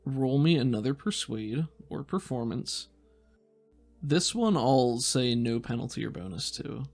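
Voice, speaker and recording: Male game master, creaky voice, mid pitch, improvised descriptive narration, faint music behind. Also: lively intonation, unhurried, darkish tone.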